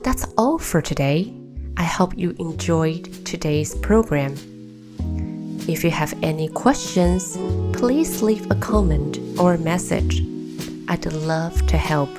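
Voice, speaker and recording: A woman's voice: on the low side, animated, slow, over music. A woman hosting a short English lesson, spelling words out letter by letter and repeating each phrase for practice. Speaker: English teacher, channel host. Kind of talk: slow scripted language lesson.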